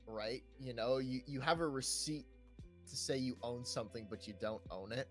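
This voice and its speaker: A man's voice: medium pitch, normal speed, a bit bright, faint music behind. A young adult man co-hosting a casual gaming podcast, talking hesitantly, repeating words and often checking for agreement. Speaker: podcast co-host. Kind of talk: casual chat about video games.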